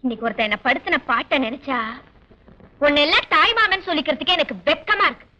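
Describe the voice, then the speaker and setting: A cheeky young prankster. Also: female voice, high voice, very animated, quick pace, sharp tone, full character voice, low-quality recording. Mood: scornful. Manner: teasing, mock-apologetic, dramatic.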